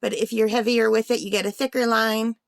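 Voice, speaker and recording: A woman's voice: on the high side, fairly even intonation, fairly quick, gravelly, no room sound, clean studio sound. A woman hosting a craft unboxing vlog in a casual, chatty way, thinking aloud, with a slightly tired, under-the-weather voice. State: slightly unwell, voice tiring. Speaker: craft channel host.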